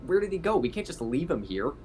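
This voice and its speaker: A man's voice: fairly high pitch, big pitch swings, quick pace, darkish tone. A man playing a tabletop roleplaying game, talking casually and tentatively, thinking aloud. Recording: clearly noisy hobby game recording.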